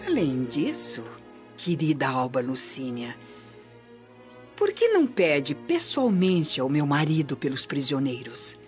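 A woman's voice: sits low, sing-song, unhurried. A woman acting a haughty, coolly insinuating Roman noblewoman in a radio drama, polished and condescending. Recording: dull lo-fi audio, music behind.

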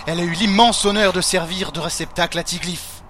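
An actor playing a fanatical, smug cult leader in a French fantasy audio drama, with a pompous, ceremonial and mockingly courteous manner. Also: male voice, high voice, a little fast, noisy background, close to an everyday voice. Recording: music under the voice.

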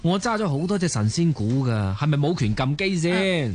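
A man's voice: fairly high pitch, sing-song, normal speed. Man doing washed-up boozy hong kong cantonese gambler type, grumbly, very up-and-down delivery, radio drama. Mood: indignant, groggy. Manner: blustering, grumbling. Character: down-on-his-luck, belligerent, boozy failed stock speculator.

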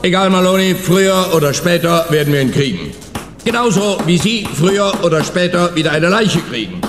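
A man's voice: high-pitched, lively intonation, brisk, background noise. A man playing a smug, chatty, eccentric police officer in a radio play, breezy and self-assured. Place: police headquarters scene, reverberant room. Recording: echoey hard-walled room, long reverb.

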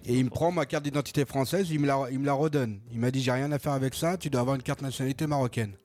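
A man's voice: medium pitch, fairly even intonation, rapid-fire, darkish tone, soft background music. A French-born man on a radio debate show, earnestly explaining a point from his own experience. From France. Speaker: debate participant.

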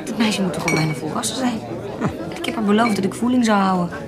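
A woman's voice: medium pitch, fast, close to an everyday voice. An actress in a Dutch radio play playing a shrewd, teasing, commanding woman adventurer of the 1790s. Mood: teasing, decisive. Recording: busy crowd chatter behind the voice. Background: loud tavern crowd.

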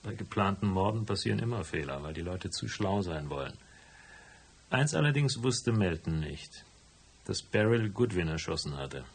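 A hardboiled private detective for a German radio play, in a deep voice with a husky, creaky edge. He is laconic and stubborn, laying things out in a dry, matter-of-fact way. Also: animated, normal speed, full character voice. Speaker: voice actor and first-person narrator. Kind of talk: German radio drama, dialogue and narration.

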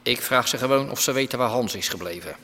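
Bright-voiced, menacing crime boss. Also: male voice, mid-range voice, fast talker. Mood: cool, menacing. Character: menacing, calmly businesslike male drug boss. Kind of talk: naturalistic radio drama acting.

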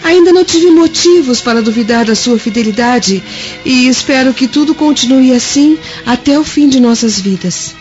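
Casting: jealous young noblewoman of Rome, fairly high voice, restrained, Brazilian accent, radio play over soft music. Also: animated, moderate pace. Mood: guarded. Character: jealous young Roman wife, weary and reserved. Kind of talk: Brazilian radio drama acting. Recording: dull lo-fi radio drama, music behind.

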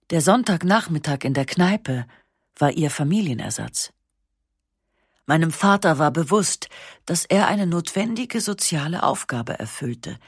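Low, husky woman reading calmly. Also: no room sound, clean studio sound. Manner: calm, measured, reflective first-person narration. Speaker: narrator. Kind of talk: scripted literary reading for radio. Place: dry studio. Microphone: close studio mic.

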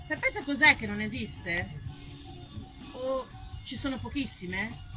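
A woman from Tuscany performing a theatrical monologue as an actress and storyteller, expressive and emphatic, dwelling on single words. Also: high voice, slow pace, music in the background. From Tuscany, Italy. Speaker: stage actress, writer and storyteller.

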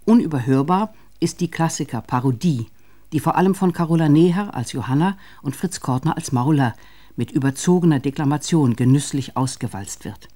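A man reading a scripted radio documentary in a measured, formal manner. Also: on the high side, animated, warm tone. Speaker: narrator of a radio feature.

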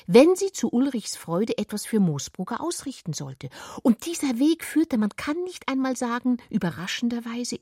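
A woman reading literary narration for a radio play in a measured, articulate, expressive way. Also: a little high, husky, dry recording, studio quality.

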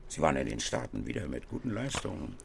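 An actor playing an older, pompous company boss in a radio play, praising in halting, repetitive business phrases. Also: male voice, very deep voice, very animated, creaky, background noise, exaggerated voice. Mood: patronizing, jovial.

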